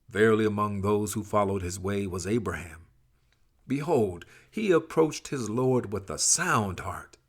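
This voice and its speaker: Husky low-voiced man reading scripture. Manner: measured, expressive, formal archaic diction. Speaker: scripture reader. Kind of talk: solemn dramatic scripture reading.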